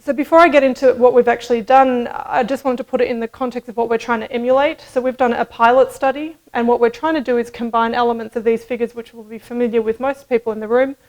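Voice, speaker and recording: Woman doing a science conference talk, voice on the high side, pretty flat delivery, measured and explanatory, podium mic. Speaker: medical researcher presenting at a conference. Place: indoor conference room, at a lectern. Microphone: podium microphone.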